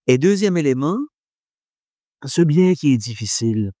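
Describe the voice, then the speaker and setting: A man's voice: medium pitch, animated, unhurried, warm tone, dry recording, clean studio sound. A man gives a reflective talk on faith and philosophy to an audience, hesitating often and joking about himself. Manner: hesitant, many fillers, self-deprecating humour.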